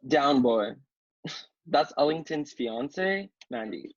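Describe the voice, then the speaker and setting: A man's voice: fairly high pitch, lively intonation, slow pace, dull audio, exaggerated voice. A man playing a sassy young party housemate in a script read-through. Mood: dry.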